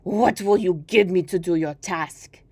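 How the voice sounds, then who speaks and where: A woman's voice: on the low side, measured pace, darkish tone, dry recording. Woman acting a droll dwarf man, sly bargainer, emphatic singsong delivery, storybook tale, close dry sound. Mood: sly, bargaining.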